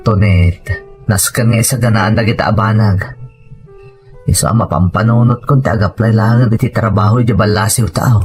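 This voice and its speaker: An actor with an Ilocano accent playing an earnest, worried husband and devoted son in an audio drama. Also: male voice, on the low side, fairly even intonation, moderate pace, faint music behind, natural voice. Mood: determined.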